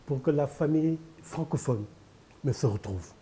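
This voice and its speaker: Older Senegalese poet, Pulaar first language, slightly high yet deeply dark tone, rhetorical and emphatic. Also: male voice, lively intonation, moderate pace. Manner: emphatic, repeats key phrases, rhetorical. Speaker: poet and candidate guest. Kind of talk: televised interview.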